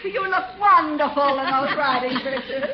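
Shopkeeper's wife, high voice, polite, coaxing, very noisy vintage radio comedy recording. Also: female voice, unhurried, highs cut off. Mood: admiring. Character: solicitous, flattering shopkeeper's wife, polite saleswoman. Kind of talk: 1940s radio comedy acting. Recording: very noisy 1940s radio, loud studio audience.

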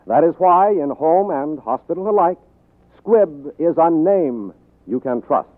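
An American man reading a script formally as a 1940s radio announcer, with measured enunciation. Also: on the high side, animated, phone-quality sound. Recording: thin vintage radio broadcast.

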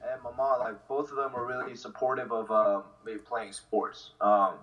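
A man's voice: medium pitch, level tone, normal speed, dull audio. A man in his twenties from South Korea and New Jersey, giving casual, easygoing answers in an interview. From South Korea, then New Jersey from sixth grade. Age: 20s. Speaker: interview guest, football kicker. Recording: voice distant from the mic.

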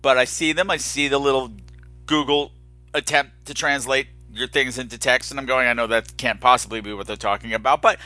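A man with a bright, forward voice chats as a podcast host over music. His delivery is campy and rambling, with playful drama and a self-deprecating streak. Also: mid-range voice, fairly even intonation, fairly quick, no room sound. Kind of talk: casual chatty solo podcast monologue.

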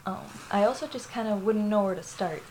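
A woman's voice: mid-range voice, fairly quick, darkish tone, a little hiss or hum. A young woman from Florida with a Puerto Rican family, telling a personal story in a candid, rambling way, full of fillers and laughs. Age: young adult. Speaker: interviewee.

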